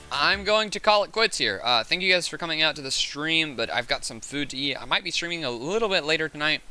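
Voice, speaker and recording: A man hosts a casual gaming stream in a hoarse, raspy voice that still cuts through very brightly. His pitch sits in the middle for a man. He rambles and reasons out loud, with faint music behind him. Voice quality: raspy, sore voice. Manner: rambling, thinking aloud. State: hoarse from hours of talking. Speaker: game streamer, host. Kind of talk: casual livestream chat while gaming.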